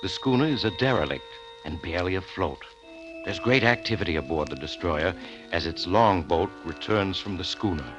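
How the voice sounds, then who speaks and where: Very deep, sinister mystery narrator. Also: male voice, animated. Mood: grave storytelling. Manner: slow, ominous, theatrical. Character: sinister male mystery narrator, ominous and inviting. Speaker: story narrator. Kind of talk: 1940s radio mystery narration. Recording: old radio recording under loud music.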